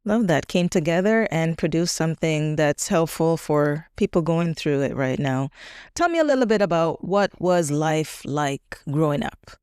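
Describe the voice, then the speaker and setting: A woman's voice: low voice, lively intonation, normal speed, a little mellow, smooth, dry recording, clean studio sound. A woman hosting a podcast, asking questions and encouraging her guest with frequent affirmations. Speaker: podcast host. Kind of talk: casual interview conversation.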